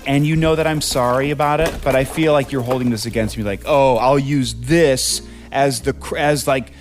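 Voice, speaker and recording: Man playing a needy, halting, apologetic boyfriend. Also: medium pitch, fairly even intonation, soft background music, close to an everyday voice. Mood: defensive. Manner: halting, rambling, self-correcting. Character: needy, hurt, apologetic human boyfriend being dumped. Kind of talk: naturalistic improvised-sounding audio drama.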